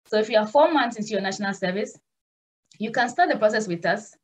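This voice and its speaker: A woman's voice: mid-range voice, lively intonation, fast, husky. Earnest woman on a video call. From Ghana. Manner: earnest, direct and reassuring. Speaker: nurse and migration consultant host. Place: small room at home.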